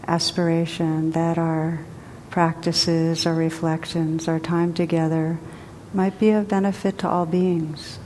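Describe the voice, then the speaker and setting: A woman teacher guiding a group meditation in a gentle, unhurried way with long pauses. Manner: slow, gentle, spacious pauses. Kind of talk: guided meditation. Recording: long hall reverb, faint rumble.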